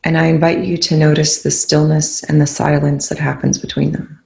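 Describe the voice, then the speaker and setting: A calm, soothing voice leading a guided meditation in gently spaced phrases. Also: mid-range voice, moderate pace, gravelly. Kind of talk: guided meditation, soft instruction. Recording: roomy, echoing indoor sound.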